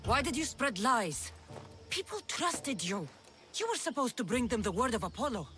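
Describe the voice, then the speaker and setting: A woman's voice: a little high, sing-song, normal speed, a bit bright, faint music behind. A woman playing a tough, confident mercenary of ancient Greece in a video game cutscene, speaking bluntly. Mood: accusing.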